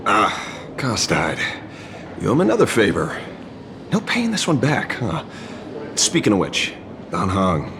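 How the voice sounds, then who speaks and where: Musing game detective, creaky, really swoopy, noisy behind him. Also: male voice, on the low side, wildly swooping pitch, a little slow, pauses often, heavily acted. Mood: pondering. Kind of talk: video game voice acting.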